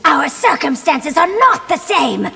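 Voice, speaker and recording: A woman's voice: high-pitched, sing-song, unhurried, creaky, bright, forceful tone, full character voice. A woman voicing a hot-headed young warrior empress who speaks with snarling vehemence in a fighting video game. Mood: furious. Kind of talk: video game character dialogue. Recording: long reverb, music behind.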